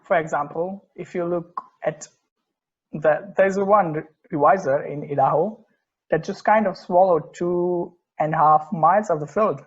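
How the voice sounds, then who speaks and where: A man's voice: fairly high pitch, measured pace, breathy edge. A man acting as an earnest, particular, opinionated football fan in a conversational table read, emphatic and occasionally stumbling over words. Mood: explanatory, bemused. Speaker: amateur actor in a remote table read. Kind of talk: conversational dramatic script reading. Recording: dull lo-fi microphone sound.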